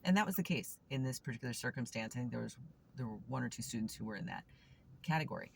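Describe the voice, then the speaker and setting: A woman's voice: a bass voice, lively intonation, fast, vocal fry, slight background noise. A woman vlogger and substitute teacher talking through a casual, reflective morning monologue, candid and thinking aloud with frequent restarts. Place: seated, waiting outside a store.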